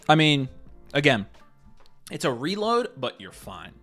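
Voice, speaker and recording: Lively chatty man with music behind. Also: a little high, a little slow. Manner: lively, reassuring, chatty with fillers. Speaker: co-host. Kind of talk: casual livestream chat.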